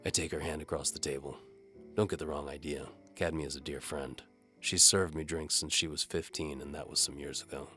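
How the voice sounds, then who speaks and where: A man playing a sardonic, world-weary medieval bow for hire, narrating in a wry deadpan as scripted audio drama. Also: very deep voice, fairly quick, faint music behind. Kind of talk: first-person scripted narration, hard-boiled audio drama.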